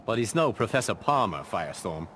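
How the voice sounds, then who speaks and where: A man's voice: fairly low pitch, very animated, brisk. A man playing an earnest, modest young scientist superhero in a video game, polite and precise. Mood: modest, eager. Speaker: voice actor. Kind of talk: video game pre-fight dialogue. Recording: very noisy game audio with effects.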